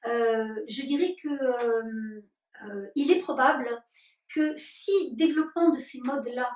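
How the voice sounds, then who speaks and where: A woman leading spiritual workshops, answering interview questions slowly and deliberately with many hesitations. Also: on the high side, darkish tone. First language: French. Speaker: workshop leader. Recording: roomy and distant.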